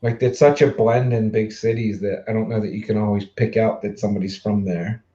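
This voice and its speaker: A man in his forties from the Mid-Atlantic US, hosting a casual livestream chat, easygoing and quick to laugh, with lots of fillers. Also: fairly low pitch, steady tone, warm tone, highs cut off. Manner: chatty, laughs easily, trails off with fillers. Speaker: livestream host.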